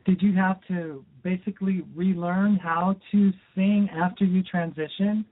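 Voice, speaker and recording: A woman's voice: on the low side, steady tone, measured pace. A woman from California co-hosting a radio show and asking questions casually. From California, United States. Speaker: radio co-host. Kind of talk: casual interviewing. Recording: tinny phone-like line.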